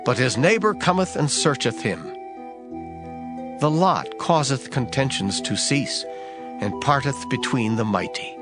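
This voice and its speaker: A man recites scripture in a measured, solemn way, and his pitch rises and falls a great deal from phrase to phrase. Clear music plays steadily behind him. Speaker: scripture reader. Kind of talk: dramatized scripture reading. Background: background music.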